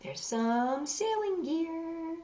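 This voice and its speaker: Slow-paced woman reading a children's story. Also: extremely high pitch, noisy background. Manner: measured, expressive reading with emphasized rhymes. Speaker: storytime host reading picture books. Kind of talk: read-aloud storytelling with asides to children. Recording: roomy echo, slightly dull sound.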